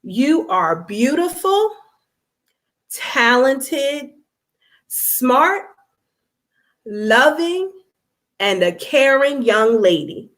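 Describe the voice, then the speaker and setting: A woman's voice: high-pitched, sing-song, drawn-out words, pauses often, fairly bright tone, full character voice. A woman voicing a loving, reassuring mother from a children's storybook, encouraging and emphatic.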